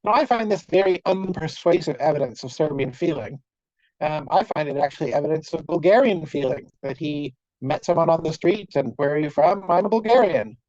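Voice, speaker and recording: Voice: mid-range voice, lively intonation, fairly quick, a little mellow, no echo. Dry-humoured academic on a muffled call. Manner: wry, dry humour with frequent fillers and restarts. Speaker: conference speaker. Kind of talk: academic lecture. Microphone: computer mic on a video call. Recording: dull, lo-fi video call audio.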